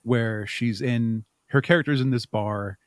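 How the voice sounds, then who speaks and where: A man's voice: mid-range voice, unhurried, dry recording, clean studio sound. A man speaking casually as a podcast guest, explaining his creative work in a rambling, chuckling way. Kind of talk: casual interview chat.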